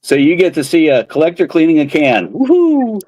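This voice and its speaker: A man's voice: on the high side, wildly swooping pitch, normal speed, darkish tone, slight background noise. A man hosting a casual beer chat, telling stories with many restarts and fillers. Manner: rambling storyteller with restarts. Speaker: channel host, beer can collector.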